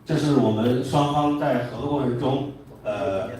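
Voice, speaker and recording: Mellow Chinese man in a very echoey room. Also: medium pitch. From China. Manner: halting, hesitant delivery. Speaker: company representative, speaker. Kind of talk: formal speech. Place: large indoor hotel event room. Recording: very echoey hall, distant mic, faint noise.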